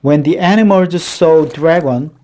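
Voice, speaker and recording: A man reading a children's picture book aloud in a deliberate, dramatic storytelling manner. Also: a little high, warm tone.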